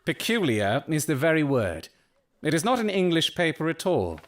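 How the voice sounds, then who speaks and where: Keen Victorian sleuth, higher male voice, animated intonation, precise, clipped, self-assured, audio drama. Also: normal speed. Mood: confidently deducing. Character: brilliant, keen, eccentric Victorian male detective.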